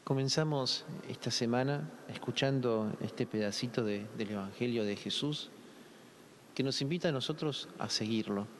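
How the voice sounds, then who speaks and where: A man's voice: medium pitch, moderate pace, a little hiss or hum. A man in his thirties with a Rioplatense Spanish accent, a priest reading the gospel and giving a conversational homily at a lectern microphone, with frequent filler sounds. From Argentina. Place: large church, indoors. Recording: echoey church hall.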